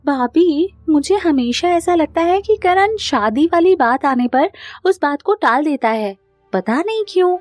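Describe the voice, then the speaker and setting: A woman's voice: sits high, lively intonation, unhurried, a little mellow, no room sound, soft background music, pitched above the performer's own voice. A woman voicing an earnest, anxious young woman in love, emotional and pleading, in a cartoon family drama. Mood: worried, pleading.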